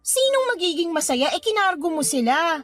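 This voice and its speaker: A woman's voice: very high-pitched, very animated, normal speed, fairly bright tone, exaggerated voice. A woman playing a blunt, protective cousin in a Tagalog radio drama, indignant and sassy on her friend's behalf. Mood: concerned, probing. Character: indignant, protective female cousin egging on a confidante.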